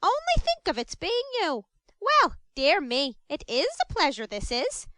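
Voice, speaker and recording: A woman's voice: wildly swooping pitch, measured pace, pushed and bright, no echo, full character voice. A woman putting on a gushing, fawning matron. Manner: gushing, coaxing, high and shrill. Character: fawning middle-aged woman who runs a pauper farm.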